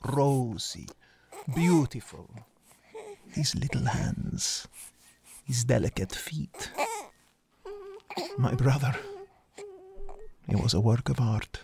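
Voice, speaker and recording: An actor plays a brooding, self-loathing man telling his life story in dramatic voice-over. His delivery is very slow and solemn, with a creaky edge and weighty pauses between poetic phrases. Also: medium pitch, a little mellow, slight background noise. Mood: wistful. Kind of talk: dramatic first-person voice-over.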